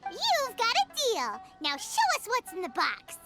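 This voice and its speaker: A woman voicing a tiny, plucky fairy girl companion in a video game, squeaky, childlike, excitable and bossy. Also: a little slow, over loud music, heavily acted. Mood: eager, bossy.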